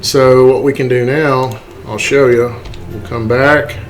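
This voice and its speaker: A man's voice: medium pitch, fairly even intonation, unhurried, faint music behind. A man giving a casual, unscripted tutorial in a folksy, self-deprecating way. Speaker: tutorial host. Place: indoors, voice-over to screen capture.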